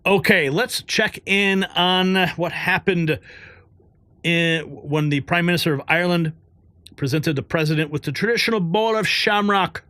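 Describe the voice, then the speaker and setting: A man's voice: high voice, unhurried, pushed and bright, dry recording, studio quality. A man hosting a live news show, chatting casually, reading viewer comments aloud and laughing often.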